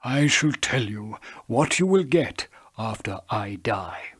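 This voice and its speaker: A man voicing an old, blind, solemn father with a husky, breathy voice and slow, drawn-out delivery, as in a storybook. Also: fairly low pitch, expressive, a bit bright, heavily acted.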